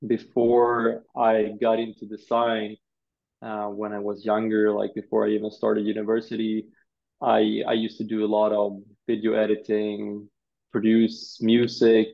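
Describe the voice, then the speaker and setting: Gravelly low Swedish man, halting. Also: lo-fi sound. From Sweden. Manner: thoughtful, halting, with frequent repeated words. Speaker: UX designer. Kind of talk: interview answers.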